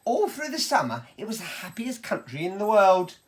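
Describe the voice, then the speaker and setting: A woman's voice: mid-range voice, big pitch swings, moderate pace. A woman reading a story to children in a theatrical, playful and emphatic way.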